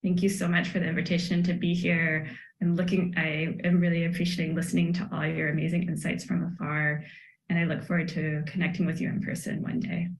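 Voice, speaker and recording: A woman's voice: lowish voice, level tone, fairly quick, slight background noise. Woman presenting remotely, echoey room. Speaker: economics professor, presenter. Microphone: video call. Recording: echoey room over a video call.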